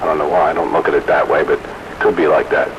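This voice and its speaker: A man's voice: very deep voice, fairly even intonation, fast, highs cut off. A man chatting casually over two-way radio as a CB operator, with a rambling, jokey manner. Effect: two-way radio transmission. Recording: noisy crackly CB radio audio.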